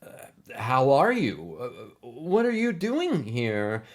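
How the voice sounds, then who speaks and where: A man's voice: mid-range voice, wildly swooping pitch, slow, voice pushed up, exaggerated voice. A sheepish man in his thirties played by a male narrator. He is flustered and hesitant, stammering through polite phrases like an embarrassed former pupil in a comic audio story.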